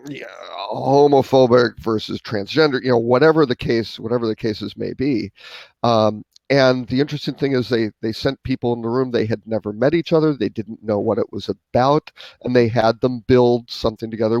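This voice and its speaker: A man's voice: medium pitch, a little mellow, no echo, lo-fi sound. A man co-hosting a live marketing show, chatting casually and thinking aloud with fillers. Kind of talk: casual live-stream discussion.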